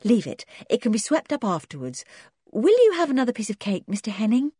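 A woman's voice: fairly high pitch, big pitch swings, a little fast, mellow, husky, no echo, studio quality, pitched above the performer's own voice. A woman voicing a kindly, flustered hostess in a storybook, soothing and anxious in manner. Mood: distressed, soothing.

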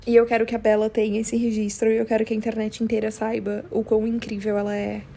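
Young São Paulo woman, husky higher voice. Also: completely flat delivery, fast talker, dark tone. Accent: strong São Paulo Brazilian. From São Paulo, Brazil. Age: young adult. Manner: rambling, slangy, emphatic, repeats herself for emphasis. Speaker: podcast host. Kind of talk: casual confessional chat.

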